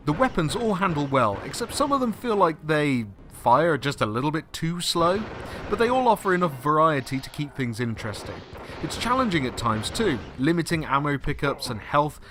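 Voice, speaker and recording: A man's voice: a little high, a bit bright. A man presenting a retro game review in scripted voice-over, conversational with lightly wry asides. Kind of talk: scripted narration over video. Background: music.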